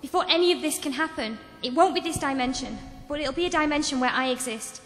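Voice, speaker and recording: A woman's voice: high-pitched, fast, fairly bright tone. An actress playing an earnest young female psychic star hunter in a sci-fi audio drama. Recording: reverberant, music behind.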